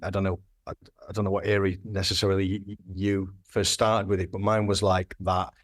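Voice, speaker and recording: Guy in his thirties chatting on a podcast, lowish voice, keeps restarting sentences. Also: level tone, brisk. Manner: rambling, hesitant, with frequent restarts. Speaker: guest, graphic designer. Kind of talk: casual podcast interview.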